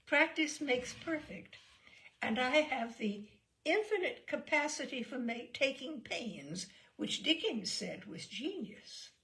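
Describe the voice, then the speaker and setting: A woman voicing a prim, self-important spinster passenger with refined, slow and pompous speech in a story reading. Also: high-pitched, expressive, fairly bright tone, pitched above the performer's own voice, full character voice, rough recording. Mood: smugly modest.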